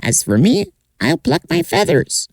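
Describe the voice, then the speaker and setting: A man voicing a tiny bird in a folk tale, in a squeaky falsetto. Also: huge pitch swings, measured pace, vocal fry, no echo, full character voice. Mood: chirpy.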